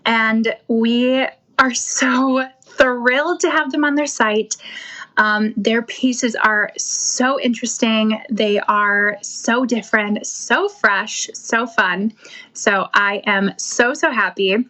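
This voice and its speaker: A woman's voice: on the high side, fairly even intonation, takes it slowly, sharp tone, rough recording. A woman host opening a live show over a video call, chatty and a bit flustered. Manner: chatty, apologetic about fumbling. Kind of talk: show intro.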